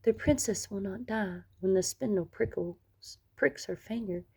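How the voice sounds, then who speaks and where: A kindly young fairy from a storybook, played by a woman reader. Her voice is hushed and breathy, nearly a whisper. She speaks gently and with reassurance. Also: medium pitch, normal speed. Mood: gentle, reassuring. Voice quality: soft, breathy, near whisper. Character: kind young female fairy softening a curse. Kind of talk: storybook character voice.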